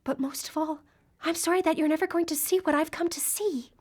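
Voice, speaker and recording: Radio drama casting: obsessive grieving widow, high-pitched, urgent and pleading. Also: female voice, steady tone, brisk, a little mellow. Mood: bitter, defiant. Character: grieving, obsessive widow desperate to reach her lost wife. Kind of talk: live radio drama acting. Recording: live broadcast with background noise.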